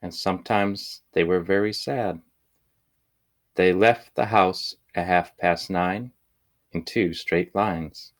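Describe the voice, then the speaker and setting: A man reading a children's picture book aloud in measured, deliberate phrases with pauses. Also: deep voice, fairly even intonation. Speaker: storybook reader.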